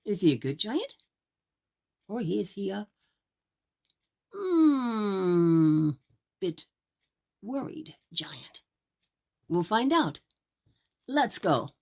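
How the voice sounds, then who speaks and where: A woman reading aloud as a children's storyteller, theatrical and deliberate, speaking directly to young listeners. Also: medium pitch, big pitch swings, gravelly, a little mellow. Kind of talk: expressive read-aloud storytelling.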